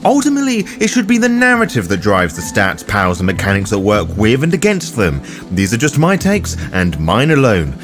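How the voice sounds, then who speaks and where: A man's voice: fairly low pitch, huge pitch swings, brisk, over music. A man hosting a roleplaying guide, reading scripted explanatory narration in a theatrical, cheeky manner. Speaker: host of a tabletop roleplaying guide.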